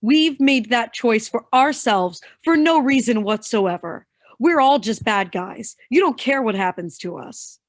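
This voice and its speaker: A woman's voice: on the high side, expressive, unhurried, dry recording, clean studio sound. A woman playing a bitter, defiant young henchwoman in a staged play reading. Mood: angry, accusing.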